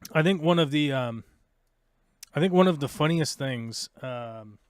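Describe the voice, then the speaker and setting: A man's voice: on the high side, unhurried, clean tone, no room sound, clean studio sound. An American man hosting a live stream, chatting casually with lots of fillers and jokes. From United States. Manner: rambling, joking, many fillers. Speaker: live show host.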